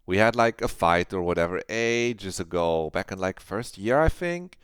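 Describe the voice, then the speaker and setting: A man's voice: sits low, lively intonation, moderate pace, a bit bright, smooth, no echo, clean studio sound. A man voicing a sullen, guarded teenage schoolgirl who answers curtly and brushes off concern. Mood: evasive. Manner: evasive, curt, defensive.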